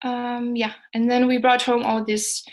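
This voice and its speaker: A woman with a Danish accent, a native Danish speaker, presenting informally as a startup co-founder, pausing hesitantly mid-sentence. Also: on the high side, level tone. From Denmark.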